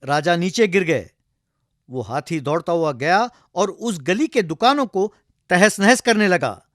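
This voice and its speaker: A man's voice: high voice, animated, a little slow, a bit bright, no echo, studio quality. A man narrating a scripted comic folktale as a storyteller.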